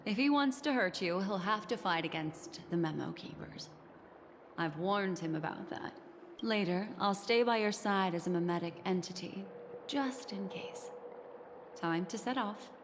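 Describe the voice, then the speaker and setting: A woman's voice: medium pitch, lively intonation, noisy background, natural voice. Woman acting a solemn guardian in long reverb. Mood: solemn, resolute. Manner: composed, solemn, measured. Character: calm, protective, mysterious woman memory keeper. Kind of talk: video game voice acting.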